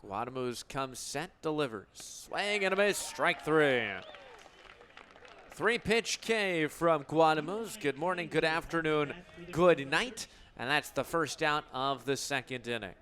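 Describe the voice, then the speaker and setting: Male radio baseball announcer, slightly high register, measured pace, clipped calls that build on big plays, faint ballpark ambience behind. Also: animated, a bit bright, a little hiss or hum. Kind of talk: live sports play-by-play commentary.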